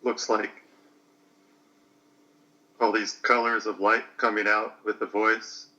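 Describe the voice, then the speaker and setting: A man in his late forties talking slowly through a personal reflection, hesitant and searching for words. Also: lowish voice, little pitch movement, bright, forceful tone, lo-fi sound. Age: 40s.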